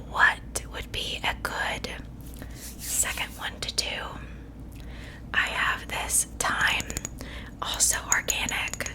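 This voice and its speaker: A sweetly menacing witch, played by a woman in an ASMR roleplay. She speaks entirely in a whisper, unhurried and soothing. Her reassurance is false, the coaxing of a captor who plans to cook her guest. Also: natural voice.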